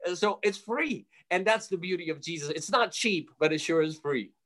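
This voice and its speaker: A man's voice: high voice, expressive, normal speed, a bit bright. A man from Jerusalem preaching conversationally as a radio guest, emphatic and exhortatory, driving points home with repeated phrases. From Jerusalem, of Armenian family. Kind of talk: fervent preaching in a conversational interview.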